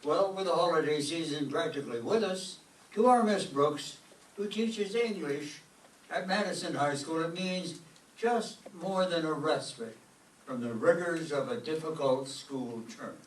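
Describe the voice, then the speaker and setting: A man's voice: a little high, unhurried, close to an everyday voice. Man as an old-time radio announcer, roomy. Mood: presentational. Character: genial male network radio show announcer. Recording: echoey stage, distant mic, faint noise.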